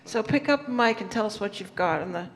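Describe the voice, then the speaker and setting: A woman minister guiding her congregation through a sharing exercise, speaking in a gentle, encouraging way. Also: mid-range voice, expressive, quick pace, poor audio. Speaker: minister leading a church service. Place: church sanctuary.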